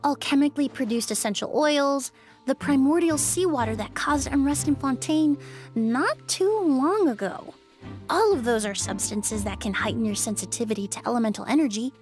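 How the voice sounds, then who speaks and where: An actress playing a calm, clinical young woman expert who explains in a measured way, in an anime game. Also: high voice, lightly acted. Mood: explanatory. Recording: game music behind the voice.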